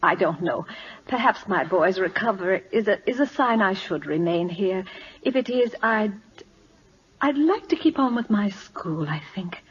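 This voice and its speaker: A woman's voice: medium pitch, lively intonation, moderate pace, close to an everyday voice. A woman playing a tender, proper Victorian schoolteacher and mother, earnest and emotional in an old radio drama. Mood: polite, hesitant. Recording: muffled vintage radio broadcast.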